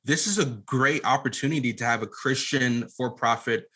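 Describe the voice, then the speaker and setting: A man's voice: mid-range voice, normal speed, bright, forceful tone, breathy edge. A man talking casually as an interview guest, often restarting his phrases as he explains his work. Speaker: podcast guest, entrepreneur and audio drama producer.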